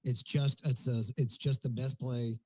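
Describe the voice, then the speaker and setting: Rambling Texan host, mellow voice. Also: male voice, medium pitch, little pitch movement, a little slow, breathy edge, no echo. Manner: rambling, joking, restarts often. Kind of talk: casual chat on a live audio space.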